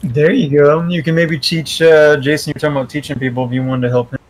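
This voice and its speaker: A man's voice: a little high, little pitch movement, fast talker, low-quality recording. A man chatting casually as a game streamer, swearing freely. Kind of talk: casual chat.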